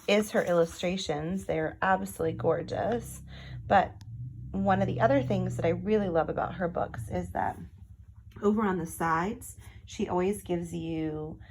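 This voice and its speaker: A woman's voice: lowish voice, creaky, darkish tone, slight background noise. A woman narrating a children's storybook, with casual asides that explain things to young listeners. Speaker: picture book read-aloud reader.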